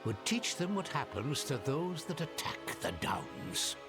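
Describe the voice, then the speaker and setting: Gravelly, grumbling old farmer. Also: male voice, medium pitch, sing-song, measured pace. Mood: weary, gruff. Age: older. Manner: gruff, grumbling, blunt. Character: gruff, grumbling old farmer of a besieged village. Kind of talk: dramatic video game acting. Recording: music behind.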